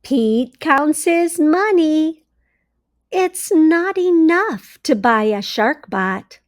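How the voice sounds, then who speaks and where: Slow, high-voiced woman reading to children. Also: animated, no room sound, studio quality. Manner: deliberate, simply phrased delivery for young children. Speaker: read-aloud storyteller for early readers. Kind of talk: children's picture-book read-aloud with questions to listeners.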